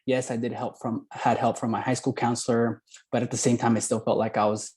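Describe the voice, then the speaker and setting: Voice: mid-range voice, flat delivery, fairly quick, a little mellow. A young adult panelist with a medium, androgynous-sounding voice. The delivery is personal and conversational, as a graduate recounting their own experience.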